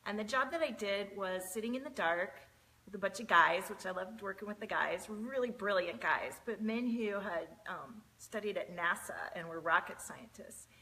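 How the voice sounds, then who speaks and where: Bright-voiced woman live-streaming. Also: medium pitch, moderate pace, low-quality recording. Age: 40s. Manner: chatty, greets viewers by name, many fillers. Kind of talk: casual live-stream chat.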